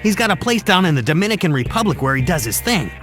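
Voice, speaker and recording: A man playing an excitable, chatty fixer and comic-book buff who rambles through explanations in an animated game cutscene. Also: high voice, fast, faint music behind. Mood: urgent, informative.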